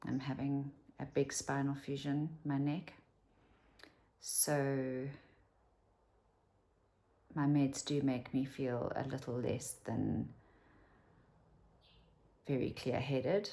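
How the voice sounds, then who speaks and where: A woman's voice: rumbling low, flat delivery, slow, pauses often, dark tone. A South African woman in her fifties talking candidly to camera at home, rambling and dropping to a whisper for asides. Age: 50s. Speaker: vlogger. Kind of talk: casual talk to camera.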